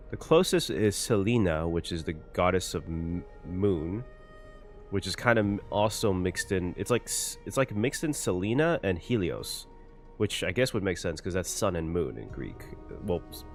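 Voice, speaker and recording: A man giving casual, chatty reaction commentary on a game, thinking aloud as he goes. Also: low-pitched. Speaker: streamer reacting to a game story.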